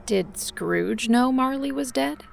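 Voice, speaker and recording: A woman with a creaky voice narrating a theatrical scripted story. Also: on the high side, lively intonation, slow pace. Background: music.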